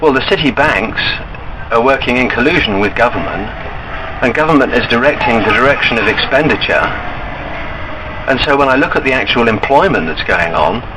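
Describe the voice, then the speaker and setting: A man calling an enquiry line and arguing his points in an earnest, insistent, halting way. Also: mid-range voice, lively intonation. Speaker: caller to a bank enquiry line. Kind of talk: argumentative phone discussion. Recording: recorded phone call with background noise.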